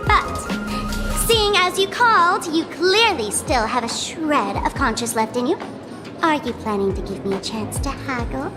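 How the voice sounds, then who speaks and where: A woman's voice: high-pitched, lively intonation, unhurried, music in the background. A woman acting a mischievous young female trickster in an anime-style game, teasing and theatrical.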